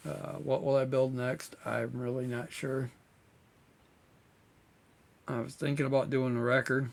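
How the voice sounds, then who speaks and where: A man, hosting his model-building channel in a relaxed, rambling way, slightly hoarse as he is losing his voice. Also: mid-range voice, measured pace. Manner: relaxed, rambling, many fillers. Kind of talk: casual chat to viewers.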